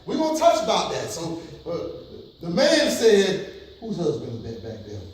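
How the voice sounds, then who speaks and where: A man's voice: high voice, wildly swooping pitch, normal speed. A man from New York City, a pastor giving a casual church workshop to an audience, with many ums and frequent questions to the room. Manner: hesitant, many ums, audience call-and-response. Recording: echoey hall from far off.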